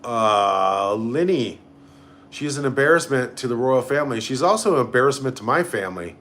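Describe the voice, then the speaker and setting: A man's voice: medium pitch, expressive, moderate pace, fairly bright tone. A man hosting a casual live stream, reading out comments and reacting in a blunt, sarcastic, sweary way. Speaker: livestream host.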